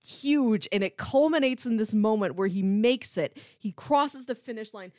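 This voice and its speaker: A woman's voice: fairly high pitch, normal speed, a little mellow, dry recording, clean studio sound. A woman co-hosting a casual film discussion, speaking in an enthusiastic, emphatic way full of hyperbole. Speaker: podcast co-host.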